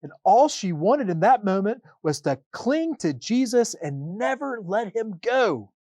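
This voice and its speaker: Man, children's storybook reading, highly animated pitch, dramatic pauses, slow delivery. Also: no room sound, clean studio sound. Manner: dramatic, deliberate phrasing with pauses.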